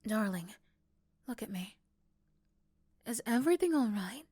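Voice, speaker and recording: A woman's voice: a little high, expressive, measured pace, dark tone, dry recording, studio quality, pitched below the performer's own voice. A woman voicing a possessive yandere girlfriend in an ASMR roleplay, coaxing and pleading with tender pet names. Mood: tender, soothing.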